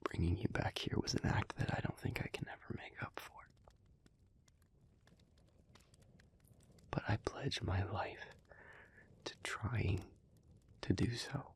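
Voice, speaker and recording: A man playing a gentle, solemn, remorseful demon in an intimate audio roleplay, speaking to the listener in a breathy, halting, earnest near-whisper. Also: low voice, natural voice. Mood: devoted, remorseful. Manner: slow, halting, earnest, with long pauses.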